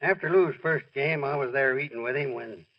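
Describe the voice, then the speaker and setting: An actor playing a friendly sportswriter pal of a ballplayer in a 1940s radio drama, on an old recording. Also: male voice, mid-range voice, normal speed, highs cut off, close to an everyday voice. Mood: reminiscing.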